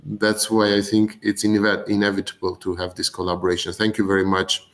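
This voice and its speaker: A man hosts a live online event in a lowish voice. He speaks haltingly, often searching for the next word, as he introduces speakers over a video call. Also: monotone. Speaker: host. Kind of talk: hosting a live telethon, introducing guests.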